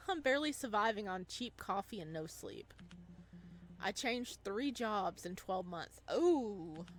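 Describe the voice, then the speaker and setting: A woman's voice: medium pitch, a bit bright. A woman reading the part of an anxious, worn-out young man who narrates his life in an introspective, hesitant way in a visual novel. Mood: weary, lost.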